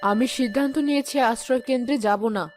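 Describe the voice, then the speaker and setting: A woman's voice: sits high, rapid-fire, natural voice. A woman voicing a principled, defiant village woman in a cartoon moral story, firm and earnest. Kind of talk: animated moral story voice acting. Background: loud background music.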